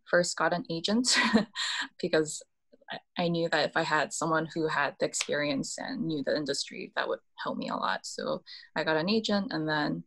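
A woman's voice: sits low, moderate pace, vocal fry, fairly bright tone. A young woman illustrator from Korea, raised in Hong Kong, talking hesitantly with small laughs on a video call from a small room. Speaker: author and illustrator. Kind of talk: casual panel talk. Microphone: video call on laptop.

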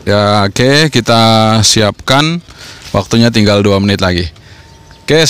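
A man's voice: medium pitch, a bit bright, smooth. A man in his forties with an Indonesian accent, talking casually as an amateur radio vlogger and often repeating himself. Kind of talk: casual vlog talk and radio call-outs. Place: outdoors on a rooftop in the open air.

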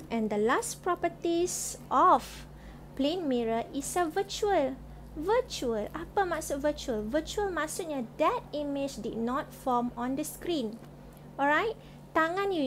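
High-voiced Malaysian teacher, chatty. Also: female voice, a little hiss or hum. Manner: chatty, keeps checking in with "alright?". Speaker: science teacher. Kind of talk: online classroom lesson mixing Malay and English. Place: school staffroom, indoors.

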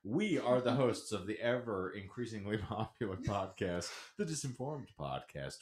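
A man's voice: lowish voice, a little mellow, roomy sound. A man hosting a free-form comedy podcast, chatting jokily with mock-grandiose phrasing. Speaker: podcast host. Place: small indoor room with couch. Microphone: podcast microphone on boom arm.

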